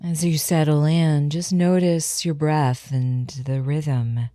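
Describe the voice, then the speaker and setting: A woman leading a guided meditation in a soothing, unhurried manner, giving gentle instructions. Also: low-pitched, fairly even intonation, dry recording, studio quality.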